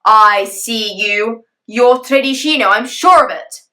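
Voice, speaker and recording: A woman voicing a menacing male father dragon in a storybook tale, speaking slowly and loudly. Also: fairly high pitch.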